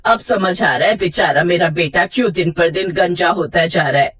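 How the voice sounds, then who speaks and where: A woman's voice: fairly low pitch, moderate pace, fairly bright tone, breathy edge, pitched below the performer's own voice. Woman putting on a scolding, stern mother-in-law. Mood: furious. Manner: exasperated, sarcastic scolding. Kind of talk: acted moral story for children.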